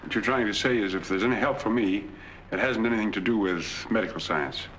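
An actor playing a lonely, sick middle-aged man in a 1940s film, speaking quietly and wearily. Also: on the low side, brisk, lightly acted, rough recording. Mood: resigned. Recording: faint hiss on old film soundtrack.

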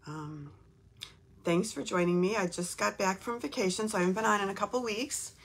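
A woman from Texas in her fifties, slightly hoarse from a cold, chatting casually while hosting a live craft demo from her home craft room. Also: medium pitch, a little fast. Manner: chatty, upbeat, lots of "um"s.

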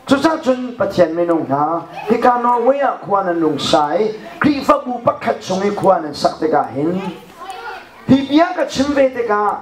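A middle-aged man preaching a sermon into a handheld mic in a church hall, animated and often laughing. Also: high voice, moderate pace. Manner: animated, gesturing with one hand, often laughing. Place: church hall with a projection screen. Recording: echoey hall, recorded from far off.

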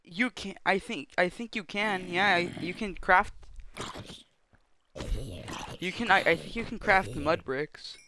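High-voiced young man streaming a game. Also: takes it slowly, long pauses, pushed and bright, slight background noise. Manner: rambling, chatty, trips over restarts while talking to chat. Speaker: live streamer. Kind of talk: casual gameplay commentary.

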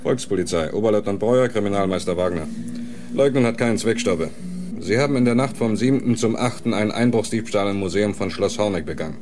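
A man playing a brisk, methodical police lieutenant in a radio crime play, questioning with businesslike authority. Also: on the low side, natural voice. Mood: firm, official. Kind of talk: radio crime drama acting. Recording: noisy radio play recording.

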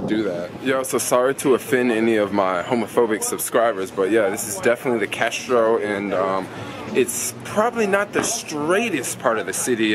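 A young American man chatting casually and slangily to camera as he vlogs, rambling and repeating phrases for emphasis. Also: medium pitch. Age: young adult. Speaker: vlogger. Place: outdoors on city streets. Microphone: camera held at arm's length. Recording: noisy urban street ambience.

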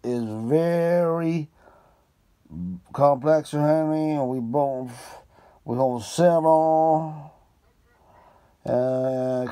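A man voicing a male detective who drops French words into halting speech. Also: mid-range voice, warm tone, smooth. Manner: halting, sprinkled with French fillers.

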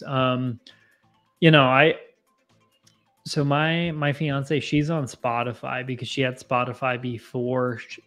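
Young male livestream host, rambling and self-deprecating, close boom mic, faint music underneath. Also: a bit bright. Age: 20s. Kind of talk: casual live chat with viewers. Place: home bar with bottle shelves, indoors. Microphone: boom mic in front of face.

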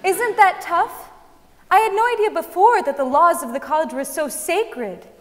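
A woman's voice: extremely high pitch, moderate pace. A young woman giving a dramatic reading of letters and novels on the stage of a large hall. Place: large concert hall stage before an audience. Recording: long reverb of a concert hall.

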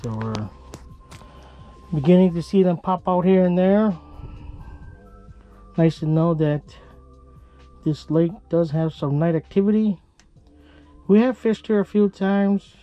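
Relaxed Minnesota fishing vlogger, slightly higher voice, easy chuckling narration, action cam in a small shelter. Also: male voice, lo-fi sound, soft background music. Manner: relaxed, chuckling, unhurried commentary. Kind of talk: casual narration while ice fishing.

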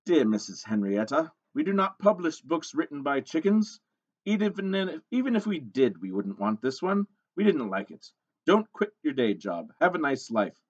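Guy doing a snooty editor from a kids book, blunt, kinda high, swoopy. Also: sing-song, moderate pace, lo-fi sound. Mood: dismissive. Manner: curt, haughty.

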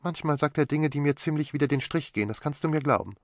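A man voicing a flippant, restless young woman who talks with quick, mocking sharpness in an audiobook. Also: on the high side, no echo, clean studio sound, pitched above the performer's own voice, lightly acted. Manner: teasing, quick and sharp-tongued. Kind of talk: audiobook character voice.

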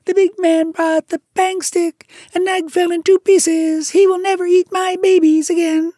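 A woman puts on a giddy little male songbird with a piercing, sing-song squeal. He is loud and shrill, gushing praise. The room is dry and close. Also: level tone, a little slow, no room sound. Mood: exultant. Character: giddy little male tailorbird, boastful singer.

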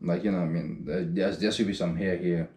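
A young man vlogging casually to a camera held at arm's length, upbeat and chatty, swinging from loud hype to low mumbling. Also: fairly even intonation, brisk, roomy sound. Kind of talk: casual vlog talk to camera. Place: small indoor room.

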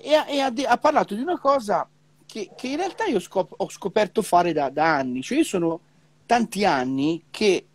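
A middle-aged man chatting casually as a trumpeter on a video call, often repeating syllables as he searches for words. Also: a little high. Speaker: professional trumpeter. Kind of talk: casual conversation about music practice. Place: indoor room, remote video call. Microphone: wired earphone mic on a video call.

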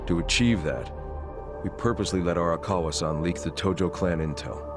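A man playing a stern, formal yakuza clan chairman in a video game, speaking gravely and deliberately. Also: sits low, music in the background, close to an everyday voice. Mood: grave, explanatory. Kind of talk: video game cutscene acting.

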